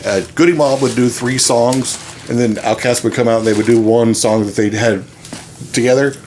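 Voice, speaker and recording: A man's voice: medium pitch, steady tone, moderate pace, darkish tone, slight background noise. Rambling storytelling man from West Texas. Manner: rambling storyteller, many filler "uh"s. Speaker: podcast guest. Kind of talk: casual storytelling chat.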